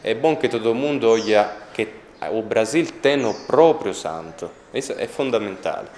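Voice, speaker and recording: A man with an Italian accent, speaking a second language in a documentary interview, pausing often to find his words. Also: on the low side, animated, a little mellow, roomy sound, a little hiss or hum. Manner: halting, searching for words, many pauses. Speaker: interviewee on a sainthood cause.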